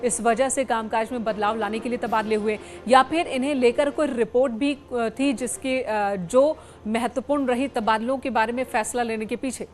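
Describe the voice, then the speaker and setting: A woman's voice: on the high side, steady tone, normal speed. A woman presenting television news as an anchor.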